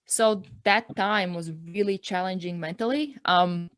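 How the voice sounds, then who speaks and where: A woman's voice: on the low side, a little slow, dry recording. Earnest young Latvian-accented woman, dry sound. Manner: reflective, earnest, peppers speech with "like" and "um". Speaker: interview guest. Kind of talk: personal story in a casual interview.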